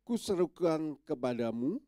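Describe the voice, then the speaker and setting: A man's voice: on the high side, expressive, a little slow, dark tone, dry recording. A male pastor preaching to his congregation, his voice stuffy and nasal from a cold, with many small filler yeses between phrases. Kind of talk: sermon. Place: church.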